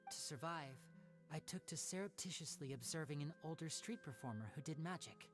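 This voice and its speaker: An actor playing a sincere young male magician in a video game, soft-spoken, earnest and polite. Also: medium pitch, expressive, a little fast, lightly acted. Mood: earnest, apologetic. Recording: game music clearly behind.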